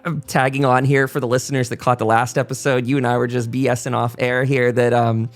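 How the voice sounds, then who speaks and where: A man's voice: mid-range voice, level tone, brisk. A man hosting a podcast in a chatty, informal way, moving between announcements and casual interview talk. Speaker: podcast host.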